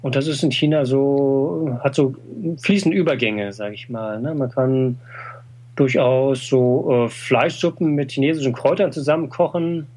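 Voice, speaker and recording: A man's voice: medium pitch, moderate pace, a little mellow. A middle-aged man in an interview, explaining Chinese medicine with many fillers and tag questions. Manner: explanatory, frequent "äh" and "ne?". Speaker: TCM practitioner. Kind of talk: conversational interview.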